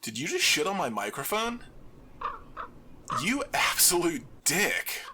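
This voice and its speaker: Wry, animated man with bright voice. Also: fairly high pitch, takes it slowly, a little hiss or hum. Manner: wry, deadpan humor. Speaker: radio host in an audio drama. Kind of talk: scripted audio drama monologue.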